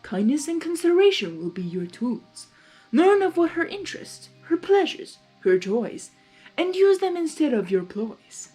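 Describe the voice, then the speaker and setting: A woman voicing a wise, cryptic female zebra seer in a storybook reading, measured and knowing. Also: sits high, big pitch swings, mellow, soft background music, voice pushed up, poor audio. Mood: instructive. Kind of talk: dramatized fanfiction storytelling.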